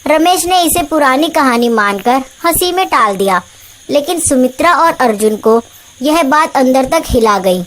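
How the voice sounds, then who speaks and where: High-pitched woman narrating horror tales. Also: expressive, unhurried, a bit bright. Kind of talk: scripted horror story narration.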